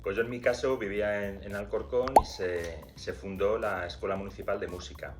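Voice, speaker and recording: A man from Madrid, a corno di bassetto player, answering interview questions haltingly, repeating words as he searches. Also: steady tone, loud music behind the voice. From Madrid, Spain.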